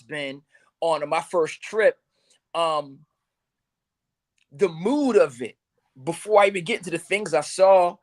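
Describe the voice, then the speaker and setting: A man's voice: sits high, fairly bright tone. Laid-back man rambling on a video call. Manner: laid-back and rambling, with many ums and slow stretches. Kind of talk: casual podcast talk.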